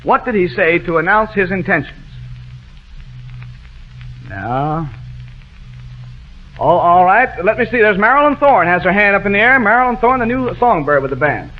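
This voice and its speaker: A man with a high voice works as master of ceremonies on a 1940s radio quiz. He keeps up casual, wisecracking patter and teases as he goes. The broadcast sounds muffled, with some music in it. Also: animated, normal speed, long pauses. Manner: wisecracking, teasing, chatty. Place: radio studio show with band and audience.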